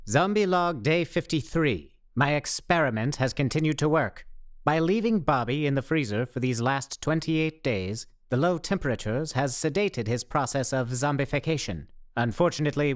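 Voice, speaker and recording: A man's voice: fairly high pitch, lightly acted. A man acting a pompous, mock-official oddball survivor. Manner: mock-official, verbose, self-important. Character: pompous oddball young man narrating his survival log. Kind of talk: radio play acting.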